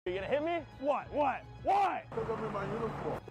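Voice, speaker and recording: A man's voice: extremely high pitch, sing-song, fairly quick, loud music behind the voice. A man in a played street clip who confronts someone with taunts and insults.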